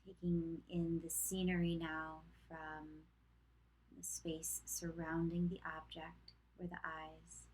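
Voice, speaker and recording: A woman teaching yoga nidra, leading a guided meditation in a calm, gentle manner with long pauses between short cues. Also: sits low, husky, rough recording.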